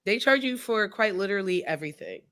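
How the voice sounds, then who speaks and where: A woman's voice: medium pitch, expressive, fast talker, a bit bright. A woman streamer chatting casually and informally, with slangy phrasing, while she builds. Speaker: streamer co-hosting a Lego build.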